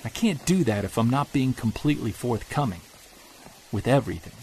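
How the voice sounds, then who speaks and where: An actor playing a weary middle-aged Bigfoot investigator, narrating an audio diary in an earnest, confessional way. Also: male voice, medium pitch, expressive, fairly quick, a little hiss or hum, lightly acted. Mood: troubled. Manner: confessional, earnest, quietly troubled. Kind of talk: scripted audio drama narration.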